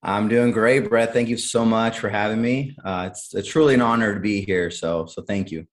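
Earnest low-voiced man in his thirties. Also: normal speed. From born in Honduras, raised in Cleveland, Ohio. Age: 30s. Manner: earnest, full of "uh" and "um", repeats words. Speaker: podcast guest. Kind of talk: candid conversational storytelling.

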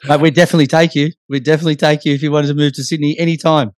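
A man's voice: a little high, level tone, very fast, no room sound. Male sports interviewer, dry close sound. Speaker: interview host. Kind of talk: casual sports chat.

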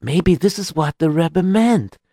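Man voicing an excitable, high-voiced wandering rabbi. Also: normal speed, dry recording, clean studio sound, heavily acted. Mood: excited, wondering. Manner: stammering, excitable, sing-song. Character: eager, earnest rabbi, a wandering storyteller from abroad.

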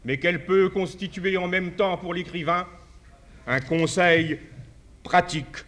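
A man giving a formal address at a writers' congress, telling a parable in a measured way and restarting phrases as he goes. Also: high-pitched, fairly bright tone. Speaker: conference speaker. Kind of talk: formal speech at a podium.

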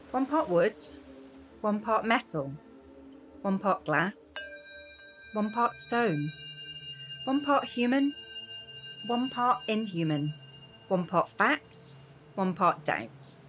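Woman as a slow, incantatory riddling poet. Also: medium pitch, animated, music in the background. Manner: deliberate, incantatory. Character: female poet inhabitant reciting riddling lists. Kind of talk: poetic radio play recitation.

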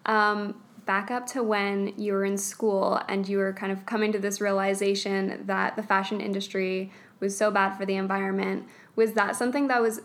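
A woman's voice: medium pitch, flat delivery, normal speed, hardly any pauses. A woman hosting a podcast, reading a scripted intro, then asking a guest curious questions. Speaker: podcast host.

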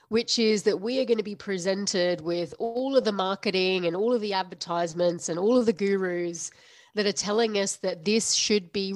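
A woman in her thirties hosting her own podcast, a little nasal and stuffy from the flu, talking frankly and unscripted with a quick laugh now and then. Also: mid-range voice, no echo. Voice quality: slightly nasal. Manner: frank, tells it like it is, laughs often. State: stuffy nose after the flu. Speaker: podcast host. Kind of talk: unscripted business podcast monologue.

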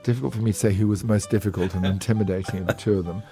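A man's voice: on the low side, fairly even intonation, very fast, warm tone, faint music behind. A man telling an interview anecdote in a dry, understated way. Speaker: broadcaster guest.

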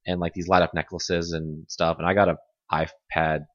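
Deep-voiced chatty man, lots of "like". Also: little pitch movement, normal speed. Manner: chatty, filler-heavy, laughs often. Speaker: podcast co-host. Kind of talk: casual chat. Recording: dull lo-fi audio.

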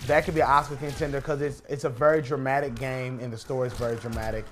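A man's voice: mid-range voice, flat delivery, fast talker, soft background music. A man in a casual podcast chat while playing a video game, arguing his points and repeating them for emphasis. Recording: clearly noisy room recording.

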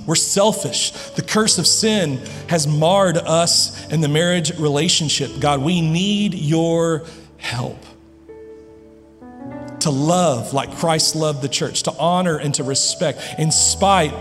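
A man preaching a sermon to his congregation, earnest and emphatic, with dramatic pauses. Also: on the high side, long reverb tail. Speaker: pastor. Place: large church hall.

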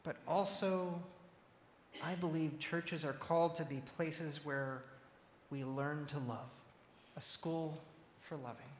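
A man preaching a sermon as a church minister, speaking in measured, deliberate phrases with pauses. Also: fairly high pitch, fairly even intonation. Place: large reverberant church interior. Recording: echoey, reverberant hall with faint noise.

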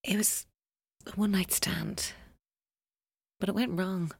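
Tormented young Irish woman, hesitant and husky. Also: mid-range voice, fairly even intonation, dry recording, clean studio sound, full character voice. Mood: flustered, embarrassed. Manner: hesitant, halting, guarded, breaking off mid-sentence. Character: tormented, evasive young woman giving police her story. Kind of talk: naturalistic audio drama acting.

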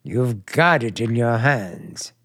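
Slow, sinister male captor. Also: medium pitch, animated, a bit bright, dry recording, clean studio sound. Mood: controlling menace. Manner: deliberate, falsely solicitous, menacing. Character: sinister, controlling man calmly holding a woman captive. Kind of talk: old-time radio suspense drama acting.

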